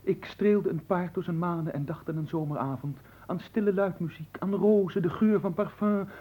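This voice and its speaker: A man's voice: on the high side, warm tone, breathy edge. A man playing a cheeky, gluttonous manservant in a radio play, glib and playful, flirting and talking between mouthfuls. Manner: glib, playful, talks while eating and humming. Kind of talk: theatrical radio play acting.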